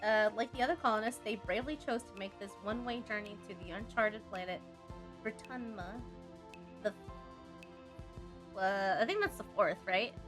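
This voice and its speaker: A woman's voice: mid-range voice, normal speed, long pauses, over music. A woman game streamer chatting casually and reactively, reading on-screen text aloud and muttering asides to herself.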